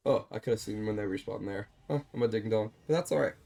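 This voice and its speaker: A man calmly walking through a replay of his own game, explaining his choices, with a slightly stuffed-up voice. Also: on the low side, fast talker, gravelly. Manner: calm, explanatory, self-deprecating. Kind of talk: gameplay commentary over a replay.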